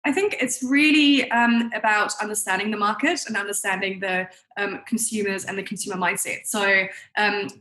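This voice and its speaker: A woman's voice: mid-range voice, steady tone, moderate pace, hardly any pauses, pushed and bright. A young adult woman who grew up in New Zealand and Germany, giving chatty, conversational interview answers peppered with "like" and "you know". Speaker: guest, marketing consultant.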